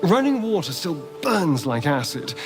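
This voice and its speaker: An actor playing a charming, sardonic, flamboyant vampire spawn in a video game, with a silky, teasing, theatrical delivery. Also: male voice, a little high, very animated, moderate pace, music in the background, low-quality recording. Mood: musing, theatrical. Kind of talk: video game character acting.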